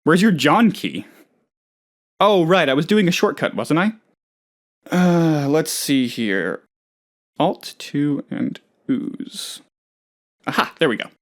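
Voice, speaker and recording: A man's voice: fairly high pitch, sing-song, slow, pauses often, full character voice. A man playing an eccentric, eager, scatterbrained office worker in a comedic video game, rambling earnestly about absurd things. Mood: mildly indignant, then absorbed, muttering. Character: eccentric, eager, scatterbrained male office colleague, cheerfully odd.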